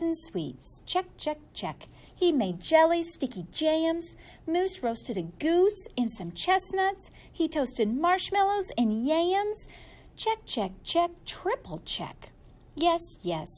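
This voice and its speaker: A woman reading a children's story aloud in a playful, expressive way, leaning on words for emphasis. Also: extremely high pitch. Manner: animated, playful, drawn-out emphasis. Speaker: storybook reader.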